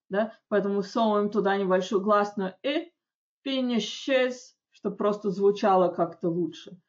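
A woman teaching grammar with a slightly high voice, talking in a chatty, step-by-step way and pausing to check in with her students. She reads the example sentences slowly and distinctly. The audio is dull and lo-fi. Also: fairly bright tone. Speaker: English teacher. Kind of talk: online lesson explaining grammar.

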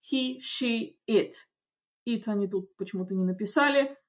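A woman teaching an English grammar lesson, explaining rules in a chatty way with frequent checks and slow, careful example sentences. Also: mid-range voice. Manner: reads English examples slowly and distinctly. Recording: dull lo-fi audio.

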